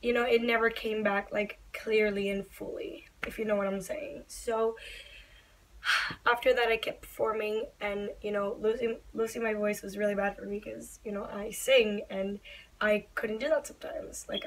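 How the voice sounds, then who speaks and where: A young woman vlogging to camera in a small bedroom, talking earnestly and openly, her voice slightly raspy and breathy. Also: medium pitch, flat delivery, moderate pace, a little hiss or hum, poor audio. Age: young adult. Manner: earnest, open, reassuring. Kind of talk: personal vlog talk to camera.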